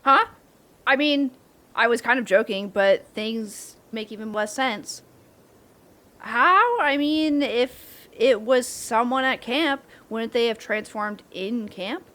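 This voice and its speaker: Young monster-hunting camper played by a woman: cocky, playful, soft-hearted tease, slightly high pitch, comedic roleplay. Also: slow. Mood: curious, excited. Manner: teasing, brash, playful. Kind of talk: comedic audio roleplay acting.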